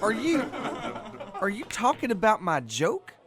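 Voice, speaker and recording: An actor in an audio drama playing a boisterous, folksy Irish-American barfly who loves being the center of attention. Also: male voice, high-pitched, wildly swooping pitch, a little slow, full character voice. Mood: bewildered, indignant.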